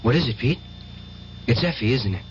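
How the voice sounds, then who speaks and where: A man's voice: medium pitch, expressive, fairly quick, lightly acted. An actor playing a fervent, tormented young man with psychic powers in an old-time radio drama, earnest and intense. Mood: anxious. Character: fervent, tormented young male psychic nephew, devoutly religious. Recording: dull, hissy old radio recording.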